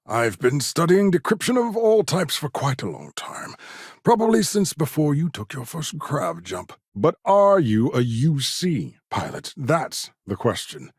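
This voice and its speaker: A man's voice: mid-range voice, breathy edge, dry recording, clean studio sound. An actor playing an eccentric, condescending but eager male scientist in a space video game, speaking in a fussy, wry, pedantic way. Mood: dry, pedantic. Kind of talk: video game character dialogue.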